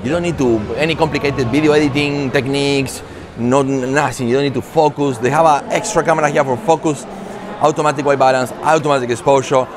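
Guy in his 40s doing casual tech vlog review, into it, mid pitch, loud-ish expo hall noise behind him. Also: steady tone, brisk. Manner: enthusiastic, conversational. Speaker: tech vlogger and presenter. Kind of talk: casual product review to camera. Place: large indoor trade show hall. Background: expo hall ambience.

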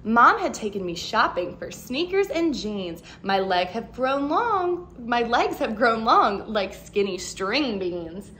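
A woman in her thirties reads a children's picture book aloud like a schoolteacher. Her voice sits fairly high and swings widely in expressive, animated phrases. She is recorded at home with noticeable background noise. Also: slow pace, hardly any pauses. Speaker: second grade teacher. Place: living room at home, indoors.

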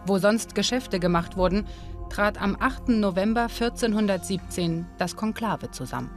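A woman giving scripted documentary narration in a measured, even storytelling delivery. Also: mid-range voice. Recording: narration over loud music.

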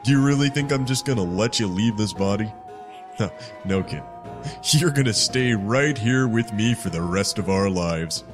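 A man's voice: fairly low pitch, lively intonation, normal speed, natural voice. A man playing a sardonic, grimly resigned guardian in a dramatic fan dub, teasing as he goes. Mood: grimly defiant. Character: wry, taunting male guardian, grimly resigned, loves stalling. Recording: loud music behind the voice.

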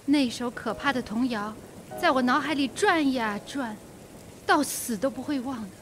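A woman's voice: high-pitched, normal speed, slight background noise, close to an everyday voice. An actress playing a frightened young woman secretary in a radio drama. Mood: uneasy, subdued.

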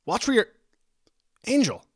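A man voicing a friendly, caring Pegasus stallion with a sweet, expressive manner. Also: mid-range voice, moderate pace, no room sound, clean studio sound, pitched above the performer's own voice. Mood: startled.

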